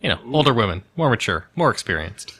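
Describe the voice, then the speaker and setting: Animated, bright-voiced man joking at a game. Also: fairly low pitch, quick pace. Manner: joking, wry. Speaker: tabletop game player. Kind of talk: casual chat.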